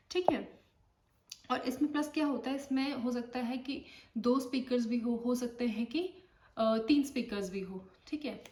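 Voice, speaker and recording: A woman's voice: a little high, darkish tone. Woman from India, nurse tutor, chatty explainer, raised voice, roomy echo, distant mic. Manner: explanatory, checks in often with "okay". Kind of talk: casual spoken tutorial. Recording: echoey room, voice off-mic, faint noise.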